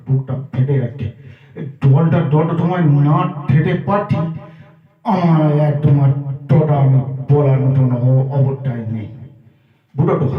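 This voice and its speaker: A man's voice: a little high, lightly acted. A man voicing a chatty, earnest two-year-old boy with lisped baby-talk, repeating himself insistently in a comic anecdote. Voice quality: childish lisped mispronunciations. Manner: repetitive, halting, insistent. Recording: muffled phone-like audio, roomy echo, distant mic.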